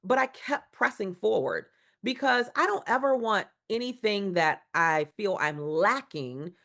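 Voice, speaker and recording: A woman's voice: mid-range voice, lively intonation, a little slow, gravelly, fairly bright tone. A woman guest in a conversational interview, talking earnestly and emphatically, building points through repeated phrases. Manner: earnest, emphatic, preacher-like repetition. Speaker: guest speaker.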